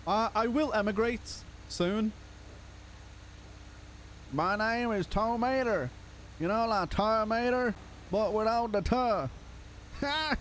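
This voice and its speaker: This is a young man whose voice is worn hoarse from a long stream. He sits slightly higher in pitch than most men and chats casually, laughing often. A faint hum of noise sits under him. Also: slow, pauses often, fairly bright tone. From Liverpool, England. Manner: chatty, laughs often, calls people brother. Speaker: streamer playing a roleplay game. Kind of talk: casual live-stream chat.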